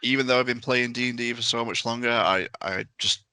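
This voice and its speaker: A man's voice: medium pitch, steady tone, fairly quick, bright, forceful tone, clean tone, no room sound. A man on a podcast panel chatting enthusiastically in a casual review discussion.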